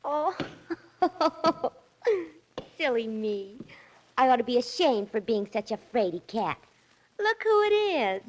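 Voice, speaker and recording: An actress playing a ditzy, excitable young secretary in a 1940s radio drama, breathless and girlish with folksy slang. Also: a little high, slow pace, long pauses, exaggerated voice. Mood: relieved, teasing. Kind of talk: 1940s radio comic acting. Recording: 1940s radio recording, faint music.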